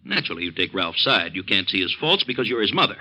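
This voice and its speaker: Crabby middle-aged rancher, lowish pitch, brisk and curt, old-time radio drama. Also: male voice, big pitch swings, pushed and bright, heavily acted. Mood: stern, unyielding. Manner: curt, complaining, emphatic. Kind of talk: 1940s radio acting.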